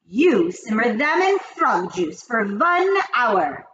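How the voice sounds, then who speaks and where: Imperious, venomous, high-pitched witch. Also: sing-song, fairly bright tone, lo-fi sound, heavily acted. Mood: boastful, instructing. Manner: commanding, grandiose, drawn-out emphatic delivery. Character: imperious, venomous female witch leader, boastful and cruel. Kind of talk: storybook character voice.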